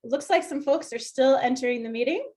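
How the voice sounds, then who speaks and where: A woman welcoming people to an online workshop over a video call, inviting and gently instructive. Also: high voice, animated, a little fast. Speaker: workshop facilitator.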